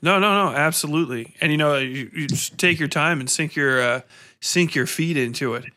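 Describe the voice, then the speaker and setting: A man's voice: fairly high pitch, normal speed, bright, forceful tone. A man hosting a podcast interview in a casual, informal and encouraging way, tending to ramble.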